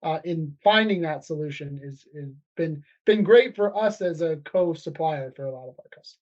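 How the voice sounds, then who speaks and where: A man's voice: on the high side, expressive, normal speed, dull audio. A man co-hosting a podcast, chatting loosely and filling his speech with "you know". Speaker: podcast co-host. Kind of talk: casual chat.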